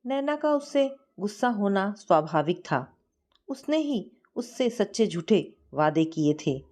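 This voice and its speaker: A woman narrating a scripted story in an expressive, sentimental manner. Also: medium pitch, measured pace.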